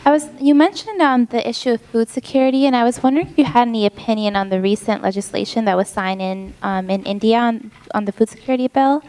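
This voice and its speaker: A woman's voice: a little high, quick pace, few pauses, darkish tone, clean tone. Polite woman asking from the audience. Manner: polite, hesitant. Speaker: audience member asking a question. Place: conference hall.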